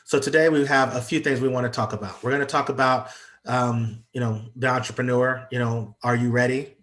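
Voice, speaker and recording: A man's voice: medium pitch, steady tone, fairly quick, fairly bright tone. Congested man on a video call. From United States. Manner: hesitant, lots of ums and uhs. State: congested from allergies. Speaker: guest speaker on entrepreneurship. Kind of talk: online presentation.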